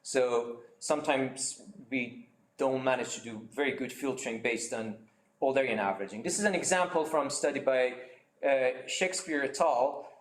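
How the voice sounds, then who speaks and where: A man's voice: mid-range voice, normal speed, reverberant. A man giving an academic seminar talk over a video call, explaining slides with frequent fillers and restarts. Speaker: seminar speaker.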